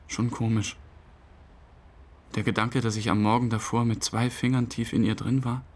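A man's voice: medium pitch, lightly acted. An actor playing a numb, bewildered bereaved boyfriend, telling his story interview-style in a radio drama.

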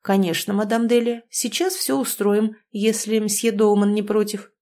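A sly, courteous estate manager in an audiobook, voiced by a woman reader. He speaks smoothly and politely, with a quiet hint of slyness. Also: mid-range voice, flat delivery, normal speed, close to an everyday voice.

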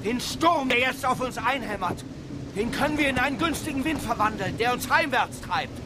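An actor playing an anxious ship's mate in a radio play, urging and pleading over the storm. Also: male voice, very high voice, lively intonation, moderate pace. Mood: urgent warning. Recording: noisy radio play recording.

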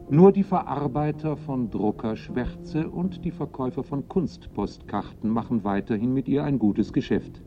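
A man's voice: on the low side, muffled recording. Male radio play narrator over faint music.

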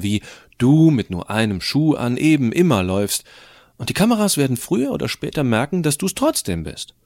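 A man's voice: medium pitch, no room sound. A man playing a cheeky, rebellious, tech-savvy teenage schoolboy who narrates an audio drama, explaining things to the listener in a colloquial, wry way. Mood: amused, mocking.

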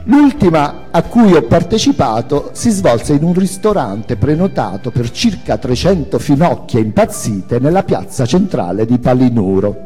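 A man's voice: a little high, sing-song, normal speed, hardly any pauses, mellow, slightly rough, in a large hall, faint music behind. A man giving a theatrical reading of autobiographical prose as its author and narrator, with dramatic, emphatic phrasing.

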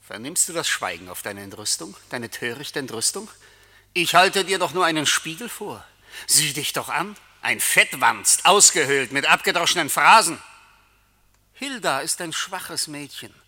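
An actor playing a sinister, taunting accuser who knows everyone's secrets, declaiming in a theatrical radio play. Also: male voice, a little high, animated, normal speed, sharp tone, heavily acted. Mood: scornful. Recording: long hall-like reverb.

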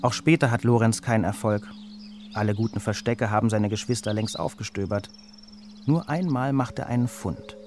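A man reading a children's story as its narrator, with an expressive storytelling delivery. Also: fairly low pitch, moderate pace, slight background noise.

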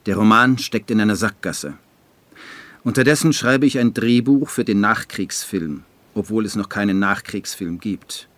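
An actor playing a brooding postwar writer who narrates his life in a measured, dry, literary way for a radio drama. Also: on the low side, fairly bright tone, dry recording, close to an everyday voice. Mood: dry, wry. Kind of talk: scripted radio drama monologue.

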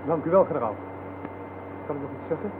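An actor playing a deferential but stubborn army sergeant in a radio play, hesitant yet blunt. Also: male voice, a little high, expressive, fast talker, natural voice. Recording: noisy, tinny 1970s radio play recording.

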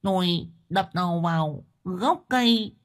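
A woman's voice: fairly low pitch, unhurried, natural voice. A woman playing a coarse, talkative village wife who complains bluntly about her husband in an audio story. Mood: tearful protest. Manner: blunt, exclamatory, colloquial complaining. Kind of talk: dramatized audio story dialogue.

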